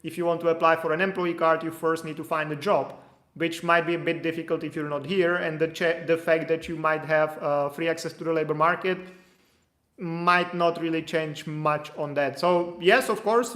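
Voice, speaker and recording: A man's voice: a little high, a bit bright. A man answering viewer questions on immigration during a live stream, in a casual, hedging manner. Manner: casual, hedging, reads questions aloud then explains. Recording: echoey room.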